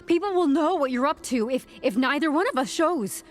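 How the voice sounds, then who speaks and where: An actress playing a nervy, sarcastic young-to-the-job HR employee held captive, flustered and wisecracking, in a comedic audio drama. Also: female voice, sits high, expressive, a little fast.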